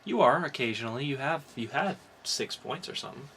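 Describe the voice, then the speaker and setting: A man's voice: mid-range voice, lively intonation, fairly quick, a bit bright, a little hiss or hum. A man hosting a podcast in a casual, chatty style. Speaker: podcast host.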